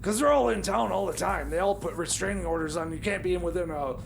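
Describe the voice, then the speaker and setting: A man doing a stammering high-voiced bumbling mechanic. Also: fast talker, a little hiss or hum. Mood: rambling. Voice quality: high gravelly voice. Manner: stammering, hesitant, full of restarts. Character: bumbling nervous male time-machine mechanic. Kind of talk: comedy radio theater.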